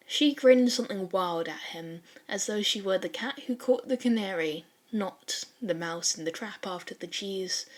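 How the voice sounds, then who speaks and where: A woman reading fan fiction as an audiobook-style narrator, with a casual, wry, expressive storytelling manner. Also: medium pitch.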